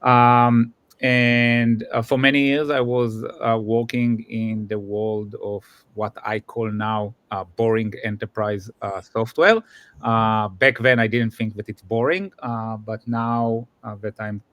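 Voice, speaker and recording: A man from Israel speaks with an Israeli accent as an executive guest in an interview. His voice is somewhat low, and he pauses often, filling the gaps with "uh". The recording is dry and close, with little room sound. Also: little pitch movement, fairly bright tone, dry recording. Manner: hesitant, many "uh" fillers. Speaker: guest, customer success executive. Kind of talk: interview answers.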